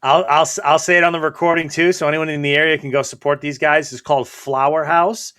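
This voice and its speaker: A man hosting a podcast, chatting in a relaxed, friendly way. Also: on the high side, fast talker, clean tone. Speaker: podcast host. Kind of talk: casual podcast conversation.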